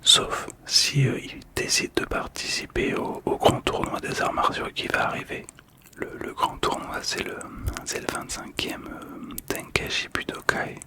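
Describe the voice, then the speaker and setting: A man whispers throughout in a breathy, unvoiced voice. He speaks softly and calmly, in the style of ASMR storytelling, with small hesitations as he goes. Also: measured pace. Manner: soft, calm, hesitant. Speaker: host of a relaxation video.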